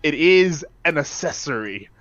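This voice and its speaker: A man's voice: a little high, animated, a little slow, a bit bright, dry recording, lo-fi sound. A man playing a tabletop game, describing a character in a casual, emphatic way with many fillers. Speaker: player.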